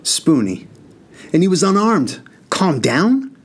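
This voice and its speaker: A man's voice: fairly high pitch, big pitch swings, slow, breathy edge, voice pushed up, exaggerated voice. A man voicing a furious young older brother. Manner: heated, rising, indignant outbursts.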